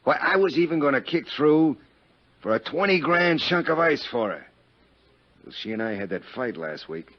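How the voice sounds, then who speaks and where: An actor in a 1950s radio drama playing a crude, boastful, jealous Chicago businessman, rambling and overfamiliar in folksy roughneck diction. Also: fairly high pitch, sing-song, normal speed. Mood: pleading, aggrieved. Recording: dull vintage 1950s radio recording.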